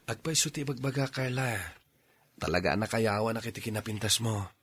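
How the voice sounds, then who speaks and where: Low, husky Ilocano suitor played by a man. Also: normal speed, fairly bright tone. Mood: coaxing. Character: earnest male suitor courting a middle-aged woman. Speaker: radio drama host and actor. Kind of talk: Ilocano radio drama acting.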